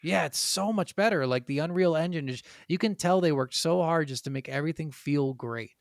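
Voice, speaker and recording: A man's voice: fairly high pitch, fairly quick, creaky, no echo, studio quality. A young man co-hosting a gaming podcast, chatting casually in an opinionated, sweary way. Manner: opinionated, profanity-laced. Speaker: podcast co-host.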